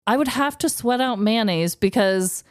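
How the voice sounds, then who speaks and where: Animated young woman podcaster, dry close sound. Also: a little high, moderate pace, clean tone, no room sound. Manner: animated, playful, exaggerating. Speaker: podcast co-host. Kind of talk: casual comedic banter and dramatized true-crime storytelling.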